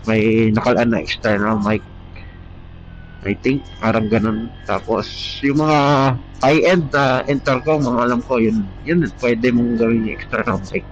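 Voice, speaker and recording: A man's voice: mid-range voice, steady tone, a little slow, faint music behind. A Filipino man with a stuffy, congested voice from a cold, chatting casually and a bit hesitantly as a motovlogger, slipping into English phrases. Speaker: motovlogger reviewing gear. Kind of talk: casual vlog chat. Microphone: helmet Bluetooth intercom. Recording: dull, noisy Bluetooth intercom mic, vehicle sound.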